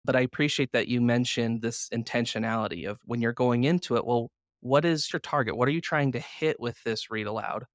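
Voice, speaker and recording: A man's voice: mid-range voice, fairly quick, hardly any pauses, no room sound, clean studio sound. A man hosting a conversational interview, affirming his guest and thinking aloud as he reasons. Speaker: podcast host.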